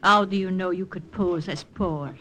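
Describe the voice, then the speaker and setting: A woman's voice: lowish voice, moderate pace, a bit bright, a little hiss or hum, natural voice, poor audio. An actress playing a proud, cool, grieving French woman in an old radio drama, with a French accent and formal, dramatic phrasing. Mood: wounded, proud. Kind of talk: 1940s-50s radio drama acting. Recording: vintage radio drama recording.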